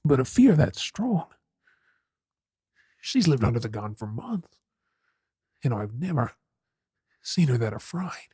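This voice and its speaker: An Australian man playing a troubled, remorseful young man in an ASMR audio drama, with a husky, breathy voice. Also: mid-range voice, sing-song, slow pace, pauses often, dark tone, dry recording, studio quality, full character voice. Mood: remorseful, hushed.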